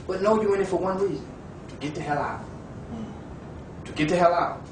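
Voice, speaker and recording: High-voiced preachy man in an echoey room. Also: lively intonation, fairly quick. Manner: preachy, rhetorical, asks "you understand?". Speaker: discussion participant. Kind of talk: impassioned debate. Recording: echoey room, far from the mic, with noise.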